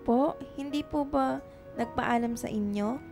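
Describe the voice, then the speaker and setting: A woman's voice: on the high side, a little slow, darkish tone. A Filipino woman playing a polite, curious young schoolgirl in a radio drama. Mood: matter-of-fact. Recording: music behind the dialogue.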